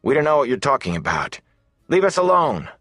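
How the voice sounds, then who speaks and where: Man acting a strained, higher-pitched young man. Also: sing-song, brisk, fairly bright tone, no echo, clean studio sound, soft background music. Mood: defiant, nervous. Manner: urgent, strained. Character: frightened, defiant young man cornered at a door. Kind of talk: audiobook character dialogue.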